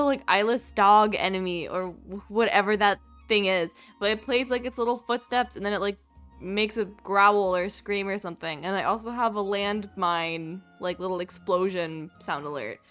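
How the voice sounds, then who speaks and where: A woman's voice: medium pitch, normal speed, a bit bright, faint music behind. A woman livestreaming a game with casual, giggly chat and an easily flustered manner.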